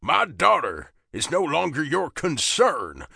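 A man's voice: fairly high pitch, wildly swooping pitch, slow pace, gravelly, sharp tone, slightly rough, no echo, studio quality, pitched above the performer's own voice, heavily acted. Man acting out a biting, commanding older Southern father. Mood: cold contempt. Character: stern, contemptuous older Southern father, wealthy and protective.

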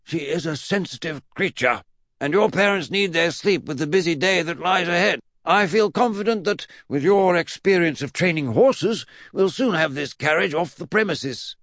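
Batty old great-uncle from a kids storybook, way up high, stern and blustery, super pompous. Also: male voice, expressive, moderate pace, a bit bright, dry recording, studio quality. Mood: pompous, confident. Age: older. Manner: pompous, emphatic, exclamatory. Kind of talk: comic children's audiobook character voice.

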